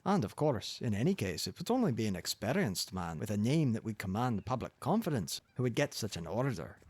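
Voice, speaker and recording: A man's voice: lowish voice, very animated, fairly quick, darkish tone, slightly rough, dry recording. A man voicing a kindly, wry old newspaper editor with a Scottish accent in an audiobook story. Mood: dry, discouraging.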